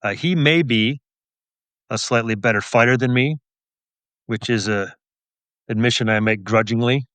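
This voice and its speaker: A man's voice: mid-range voice, normal speed, long pauses, a bit bright, dry recording. A middle-aged man answering as an interview guest in a casual, wry, understated way. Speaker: guest, crime novelist and police officer.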